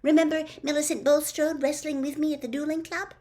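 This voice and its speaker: A woman voicing a clever, bossy schoolgirl witch from a storybook, brisk and matter-of-fact. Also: sits high, flat delivery, a little mellow, pitched above the performer's own voice, lightly acted. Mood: bright, assured.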